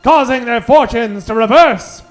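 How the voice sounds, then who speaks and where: Male narrator, notably high pitch, arch storytelling for comic old-time radio drama. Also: unhurried, faint music behind.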